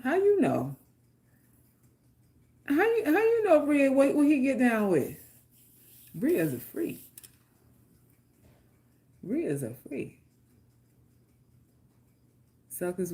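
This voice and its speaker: A woman's voice: medium pitch, mellow. A woman from Queens with a New York accent, chatting casually and bluntly with viewers, joking and swearing freely. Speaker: livestream host reading chat. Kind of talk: casual unscripted chat.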